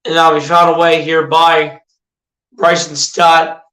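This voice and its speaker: A young man calls the game pitch by pitch on his own livestream, breaking off to chat with viewers. His voice is a little rough but bright and fairly high. The sound rings in an echoey room. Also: level tone, measured pace. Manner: chats with viewers between calls. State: slightly rough voice. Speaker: livestream play-by-play caller. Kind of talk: amateur play-by-play commentary.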